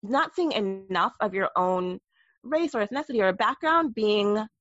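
Animated woman guest on a dull line. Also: mid-range voice, a little slow, no room sound. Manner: animated storytelling with playful asides. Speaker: podcast guest. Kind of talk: candid interview conversation.

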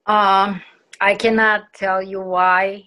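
Slow, halting woman with Ukrainian accent. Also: medium pitch, flat delivery, bright, forceful tone, low-quality recording. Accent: Russian-speaking Ukrainian. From southeastern Ukraine. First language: Russian. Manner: halting, searches for English words. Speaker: interviewee. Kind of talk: conversational personal recollection.

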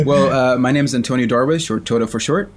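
A man's voice: mid-range voice, fairly quick. A young man from Sweden co-hosting a casual podcast chat, upbeat and leading the talk. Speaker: podcast co-host.

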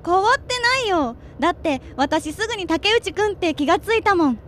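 Woman acting a high, lilting, friendly young woman. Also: expressive, a little fast, a bit bright, slight background noise. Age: 20s. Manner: chatty, casual, direct. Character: friendly, forward, sporty young woman, old classmate. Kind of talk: radio drama dialogue.

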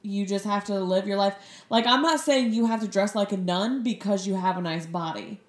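A woman's voice: mid-range voice, normal speed, echoey room. A woman in a casual podcast discussion, explaining her view earnestly with many "like"s.

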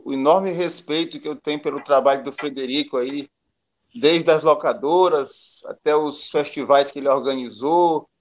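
A man co-hosts a live online talk and asks long, halting questions full of restarts and fillers. His voice sits fairly high, and the audio sounds muffled and lo-fi. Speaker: co-host interviewer. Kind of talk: live stream interview questions.

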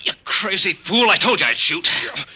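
Radio drama prospector: embittered and threatening, very tense sharp tone, muffled vintage sound. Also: male voice, high voice, very animated, normal speed, breathy edge, heavily acted. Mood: desperate fury, then dying, vengeful. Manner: menacing, melodramatic. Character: embittered prospector brother, vengeful, threatening as he dies. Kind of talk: 1940s radio drama acting. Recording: dull, lo-fi old radio recording.

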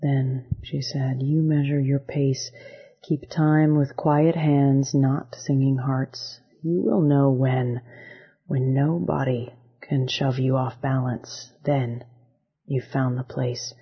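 A poet reads verse at a very slow pace in a husky, mid-pitched voice. The recording is a little dull and lo-fi. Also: steady tone. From Damascus and rural Georgia, US. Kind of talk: poetry reading. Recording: slightly dull, lo-fi sound.

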